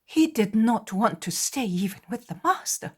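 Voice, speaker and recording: A woman voicing John, a tender and devoted young male disciple who speaks earnestly and sighs softly. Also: high-pitched, lively intonation, moderate pace, breathy edge, voice pushed up. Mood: worried. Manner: earnest and soft, sighing.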